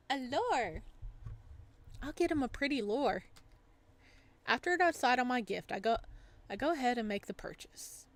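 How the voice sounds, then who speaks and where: A woman with a fairly high voice plays through a visual novel. She narrates the text expressively and drops into casual, playful commentary as she reads. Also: animated, slow pace, long pauses. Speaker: let's player reading a visual novel aloud. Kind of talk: casual game commentary mixed with dramatic narration.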